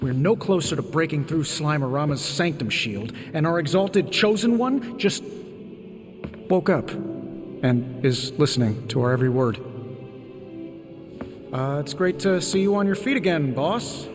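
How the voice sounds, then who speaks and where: A man's voice: a little high, lively intonation, unhurried, long pauses. An actor playing a confident, wisecracking male inventor hero in a video game, full of glib sarcasm. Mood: glib, teasing. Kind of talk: video game cutscene acting. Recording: echoing hall, music behind.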